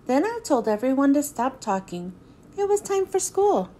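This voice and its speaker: High, lively woman reading a picture book. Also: moderate pace. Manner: expressive storytelling read-aloud. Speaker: children's book reader.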